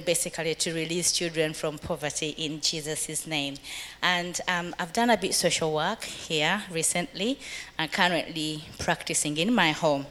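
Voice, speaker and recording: A woman's voice: sits low, a bit bright. A middle-aged woman from Uganda speaking earnestly to a church audience about family life. She laughs often and quotes scripture.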